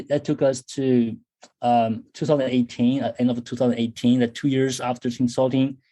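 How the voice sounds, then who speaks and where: Mellow-voiced man, guest from China. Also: medium pitch. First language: Chinese. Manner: thoughtful, frequent fillers and restarts. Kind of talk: casual interview talk.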